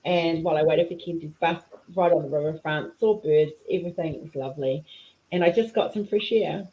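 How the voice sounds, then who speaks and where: A woman's voice: brisk, dark tone, poor audio. A middle-aged woman with a New Zealand accent tinged with Australian, chatting casually on a livestream in a sing-song voice that rises and falls. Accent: New Zealand, slightly mixed with Australian. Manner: sing-song, pitch going up and down. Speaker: livestream host, diamond painting artist.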